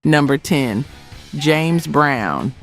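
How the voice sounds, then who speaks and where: Guy doing documentary narration, a bit high voice, punchy dramatic phrases, light music behind. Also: lively intonation, extremely slow, creaky, fairly bright tone. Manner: dramatic short emphatic phrases. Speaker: narrator of a music history video.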